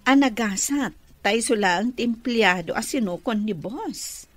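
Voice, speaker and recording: Gossipy, envious Filipino clerk for radio comedy, unhurried, animated delivery. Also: female voice, fairly high pitch, no echo. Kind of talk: radio comedy drama acting.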